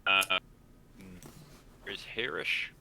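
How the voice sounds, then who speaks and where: A man narrating and making rulings as game master, improvising in a halting way. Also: low voice, vocal fry, bright, forceful tone.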